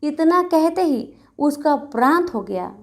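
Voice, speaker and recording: A woman's voice: sits high, lively intonation, takes it slowly. A woman narrating a scripted devotional story as a storyteller.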